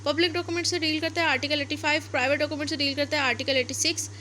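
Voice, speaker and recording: A woman with a distinctly high voice and a Pakistani accent teaches law. She explains point by point in lecture style, dropping English legal terms into her sentences. Some background noise sits behind her voice. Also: fairly even intonation, racing, pushed and bright.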